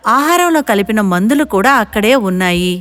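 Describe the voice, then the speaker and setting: A woman's voice: mid-range voice, big pitch swings, fairly quick, fairly bright tone, soft background music. A woman reading a scripted story as its narrator.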